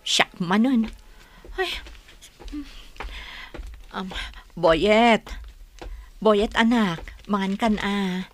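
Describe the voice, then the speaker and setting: A woman's voice: mid-range voice, drawn-out words, pauses often, slight background noise. A woman playing a doting mother in a radio drama, with a Filipino accent. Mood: fretful. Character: doting mother coaxing her small child.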